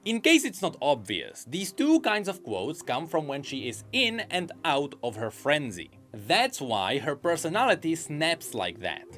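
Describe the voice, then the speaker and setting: Man narrating game lore, very lively intonation, slightly high voice, soft music behind. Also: measured pace, hardly any pauses. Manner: chatty, speculative. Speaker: video game lore commentator. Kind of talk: lore commentary voice-over.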